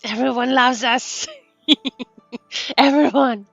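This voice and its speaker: A woman's voice: high-pitched, fairly even intonation, extremely slow, gravelly, no echo. A woman streaming a game with casual commentary in non-native English, often searching for words. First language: not English. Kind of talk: casual gameplay commentary, reading dialogue aloud. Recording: dull sound with faint music behind. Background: game music.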